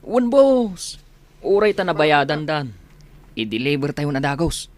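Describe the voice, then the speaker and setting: A lively, high-voiced Filipino underling. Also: male voice, unhurried, no room sound. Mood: eager, agreeable. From Philippines. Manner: eager, deferential. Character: deferential male block worker, slyly cutting corners. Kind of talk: Philippine radio drama acting.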